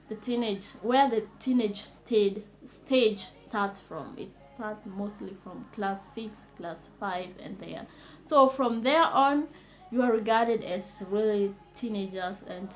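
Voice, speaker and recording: Slow, enthusiastic woman from Kenya. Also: mid-range voice, low-quality recording. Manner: enthusiastic, repeats superlatives, unhurried with pauses. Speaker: vlogger. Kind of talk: casual talking-to-camera vlog.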